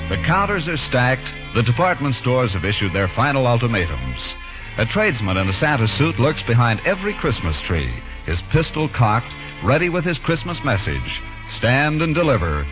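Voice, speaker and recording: Brief: male vintage radio announcer, mock-dramatic narration, very animated, music underneath. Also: mid-range voice. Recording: dull 1940s radio recording. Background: loud music.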